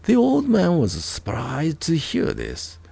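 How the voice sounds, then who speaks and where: A man narrating a scripted folk tale with a deliberate storytelling delivery. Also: fairly high pitch, wildly swooping pitch, vocal fry, warm tone, husky, dry recording.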